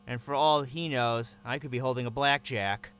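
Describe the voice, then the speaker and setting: A man's voice: medium pitch, steady tone, moderate pace, fairly bright tone, a little hiss or hum. A man narrating in first person as a captive, with a tense, wary inner voice.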